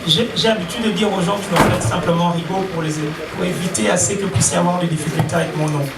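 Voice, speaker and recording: A man's voice: sits high, fairly even intonation, fast. A man in his thirties giving a reflective spoken word speech, building rhetorically through repeated phrases. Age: 30s. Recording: echoey venue, distant, loud guitar music behind. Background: loud guitar music.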